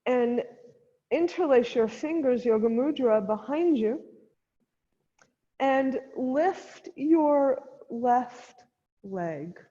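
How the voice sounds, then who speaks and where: A woman leading a guided chair yoga class as the instructor, giving gentle step-by-step cues with pauses, in a muffled, reverberant recording. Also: high voice, lively intonation. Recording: dull sound in a long-reverb hall.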